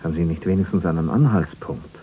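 A man's voice: sits low, quick pace, highs cut off, slight background noise, lightly acted. A man acting a dry, sceptical newcomer in a 1960s German radio play. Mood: reserved, dry. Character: sceptical, dry male newcomer drawn into an affair.

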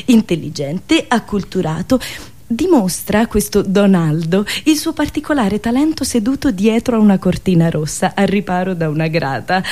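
A woman radio host telling a satirical story theatrically, expressive and deliberate, with dramatic pauses between listed phrases. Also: medium pitch.

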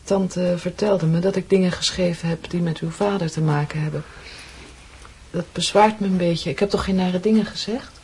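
An actress playing a fragile, anxious young niece in a radio drama, careful and breathy in her speech. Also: lowish voice, steady tone, moderate pace, darkish tone, slight background noise, natural voice. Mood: polite, uneasy.

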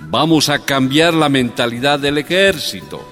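An actor playing a sympathetic, resolute populist general and president giving a solemn speech in a radio drama. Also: male voice, mid-range voice, sing-song, normal speed, a bit bright. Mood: solemn, reassuring. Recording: reverberant hall, faint music behind.

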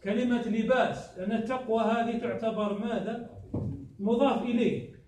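High-voiced man teaching in an echoey room. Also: fairly even intonation, normal speed, a little mellow, a little hiss or hum. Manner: quizzes the class with repeated prompt questions. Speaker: grammar teacher. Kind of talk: classroom lesson. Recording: echoey room, distant mic.

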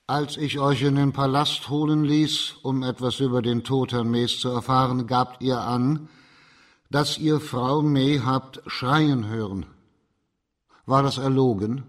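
An actor playing a composed, stern judge and investigator in a radio crime drama, measured and formal. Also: male voice, mid-range voice, steady tone, lightly acted.

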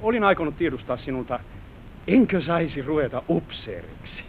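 An eager young man of a manor family in an old radio play. His voice is high and swings freely, respectful but bubbling with boyish spirit. The recording is thin and narrow like a telephone, with some background hiss. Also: big pitch swings, vocal fry. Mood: hesitant, earnest. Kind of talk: old radio drama acting. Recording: noisy, tinny old radio drama recording.

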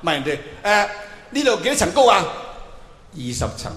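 A man with a Hong Kong Cantonese accent performing an animated, colloquial stand-up monologue to a live audience, acting out bits of dialogue. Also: high-pitched, moderate pace. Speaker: stand-up comedian. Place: large indoor venue with long reverb. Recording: echoey hall, live stage, faint noise.

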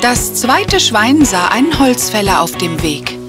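Female narrator, children's fairy tale, expressive rise and fall, music bed underneath. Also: on the high side, a little slow. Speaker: storyteller, narrator.

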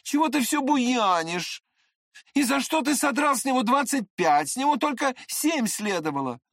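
A man's voice: very high-pitched, expressive, moderate pace, no room sound, clean studio sound, pitched above the performer's own voice. Guy doing a boyish young friend, eager, kinda scolding, voice way up, audiobook. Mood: exasperated. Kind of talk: dramatized audiobook character voice.

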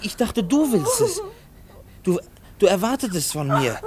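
A man playing an earnest, troubled railwayman husband in a 1950s radio play, speaking haltingly. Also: sits high, wildly swooping pitch, crowd noise, exaggerated voice. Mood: pleading, distressed. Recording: noisy old 1950s radio recording.

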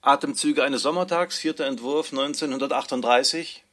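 A man's voice: medium pitch, moderate pace, a bit bright. A man making a scripted announcement of titles for a literary radio production.

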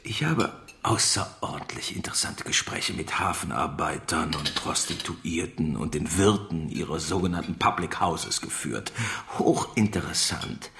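A man's voice: low voice, creaky, fairly bright tone, full character voice. A man acting in a radio drama as an older, cultured father who speaks formally and emphatically, genial and eager to provoke. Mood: reminiscing, self-satisfied.

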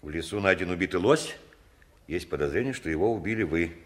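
A man playing a calm, polite but firm police lieutenant in a 1960s Russian radio play. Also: deep voice, brisk. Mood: stern. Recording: old radio recording, voices in background.

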